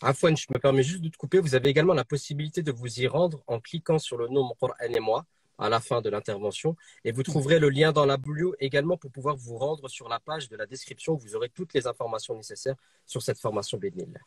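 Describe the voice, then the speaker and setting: A man's voice: mid-range voice, fast, breathy edge, dry recording. A man hosting a live online talk, stepping in with brief, polite remarks. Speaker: live stream host.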